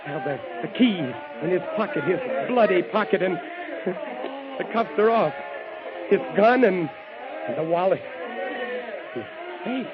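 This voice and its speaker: An unhinged poet-killer. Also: male voice, high voice, lively intonation, unhurried, pauses often, a loud crowd. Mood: panicked. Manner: feverish inner monologue, swinging from panic to whispers. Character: male poet escaped convict, unhinged killer. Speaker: lead actor in radio play. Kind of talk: 1950s radio drama acting. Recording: noisy, muffled old radio broadcast.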